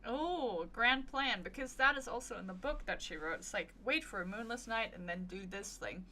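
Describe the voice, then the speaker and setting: A woman's voice: a little high, lively intonation, moderate pace, sharp tone. A woman streaming a game, giving casual commentary with playful, cutesy reactions.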